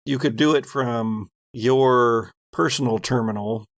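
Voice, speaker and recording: A man's voice: medium pitch, unhurried, dry recording. A man acting as game master, narrating an improvised tabletop role-playing game in conversational speech.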